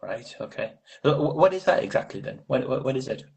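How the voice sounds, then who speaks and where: A man with a husky voice casually discussing poetry in a tentative, rambling way, often repeating words as he goes. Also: mid-range voice, sing-song, a little fast, a little mellow. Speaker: host of a live poetry reading.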